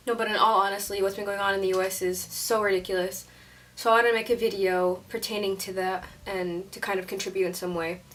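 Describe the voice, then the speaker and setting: A young woman vlogger in her twenties talks casually to camera, her chat full of fillers and quick laughs. Her voice sits at a medium pitch and sounds a little distant from the camera mic. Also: a bit bright, slight background noise. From Syria. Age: 20s. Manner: chatty, says like a lot, laughs often. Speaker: YouTuber.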